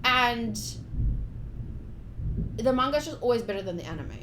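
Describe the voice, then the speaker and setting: A woman with a higher-pitched voice chats to viewers on a casual game live stream. She is informal and talkative, addressing them directly. There is some background noise on the recording. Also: animated, moderate pace, roomy sound. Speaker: live streamer playing a visual novel.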